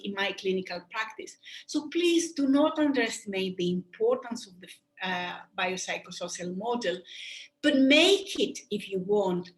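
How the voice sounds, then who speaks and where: A woman with a Greek accent presenting a sports medicine webinar, earnest and thoughtful, pausing often with "um" and restarting her phrases. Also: mid-range voice, roomy sound, poor audio. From Greece.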